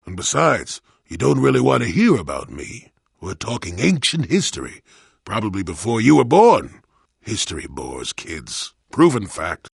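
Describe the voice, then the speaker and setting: An actor playing a cantankerous, wry old male Jedi hermit in a video game, with a gravelly, husky voice and a grumbling, sardonic manner. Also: lowish voice, normal speed, no echo, studio quality, heavily acted. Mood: cranky, grudging. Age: older. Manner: grumbling, sardonic, theatrically expressive. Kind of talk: video game character dialogue.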